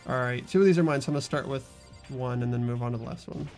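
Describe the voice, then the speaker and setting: A man's voice: mid-range voice, fast, a little mellow. A man hosting a voiced game playthrough, chatting casually and reading narration over game music.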